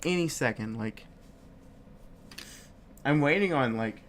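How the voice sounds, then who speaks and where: A man's voice: mid-range voice, animated, slow, a bit bright, a little hiss or hum. A young man co-hosting a podcast in casual chat, sniffly from a cold, rambling with lots of fillers and swearing. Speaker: podcast co-host. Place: home studio, live-streamed.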